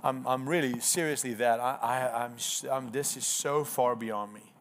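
A man's voice: mid-range voice, moderate pace. A man in his thirties with an Afrikaans accent and Afrikaans as his first language, preaching a personal testimony in an earnest, emphatic storytelling way. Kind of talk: sermon testimony.

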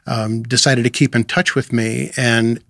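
A man's voice: medium pitch, flat delivery, unhurried, creaky, fairly bright tone, no room sound. A middle-aged American man speaking as a pastor being interviewed, telling personal stories in an earnest, conversational way. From Illinois, United States. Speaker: missions and outreach pastor, interview guest.

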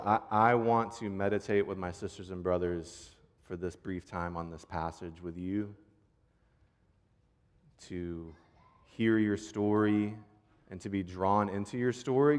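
A man preaching as a pastor in an informal, earnest, conversational way, repeating phrases for rhythm as he tells stories. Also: lowish voice. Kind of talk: conversational sermon with prayer and storytelling. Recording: long hall reverb.

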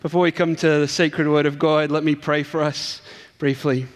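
A man's voice: a little high, little pitch movement, moderate pace, in a large hall. A man with an English accent, a guest preacher delivering an earnest sermon with emphatic build-ups. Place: church sanctuary.

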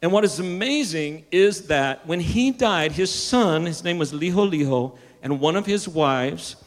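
A man's voice: on the high side, animated, a little slow, in a large hall. A man preaching a sermon, building emphasis and sometimes restarting his words. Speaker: pastor.

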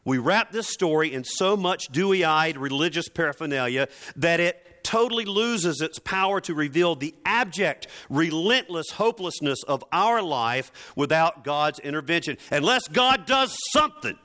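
A man preaching a sermon in an emphatic, dramatic and deliberate way, building through repeated phrases. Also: on the high side, expressive, sharp tone.

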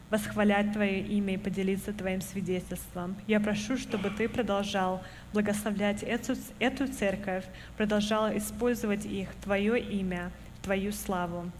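Earnest woman in a church. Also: mid-range voice. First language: Russian. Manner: earnest, measured storytelling. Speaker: guest speaker. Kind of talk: church testimony and appeal. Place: church sanctuary. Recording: echoey hall, faint background noise.

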